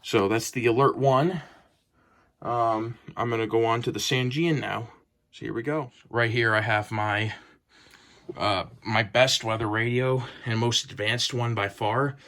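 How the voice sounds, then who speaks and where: A man's voice: mid-range voice, fairly even intonation, unhurried, fairly bright tone. A man giving a casual, unscripted show-and-tell as a hobbyist collector, in a hesitant, rambling, plain-spoken way. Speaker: hobbyist collector presenting weather radios.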